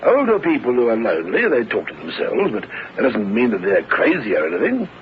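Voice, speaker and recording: An actor playing a calm, kindly family doctor in a radio drama, explaining in a professional way. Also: male voice, medium pitch, brisk. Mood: reassuring. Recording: dull lo-fi radio recording, faint noise.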